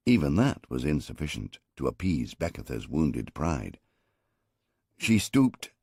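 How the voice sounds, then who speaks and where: A man with a very deep, gravelly voice reads an audiobook in the first person. His delivery is measured and wry, with lively rises and falls in pitch, recorded dry and close with a husky edge. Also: no room sound. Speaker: audiobook narrator.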